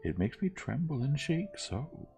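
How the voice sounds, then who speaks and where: A timid storybook elder brother, acted by a male narrator, cowardly and easily frightened. He pleads fearfully, in a mellow, soft-edged tone. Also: fairly high pitch, big pitch swings, unhurried, vocal fry, faint music behind, voice pushed up, heavily acted.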